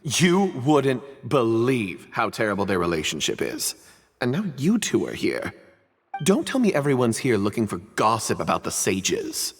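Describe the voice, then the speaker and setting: A man's voice: fairly low pitch, huge pitch swings, moderate pace, a little hiss or hum. An actor playing a chatty, polite male townsman who loves gossip, in a video game. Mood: chatty, gossipy.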